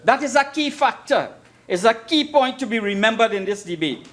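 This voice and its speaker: A man's voice: extremely high pitch, expressive, moderate pace, fairly bright tone. A Trinidadian man giving a formal parliamentary debate speech as an opposition member, emphatic and oratorical, repeating phrases for effect. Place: parliament chamber.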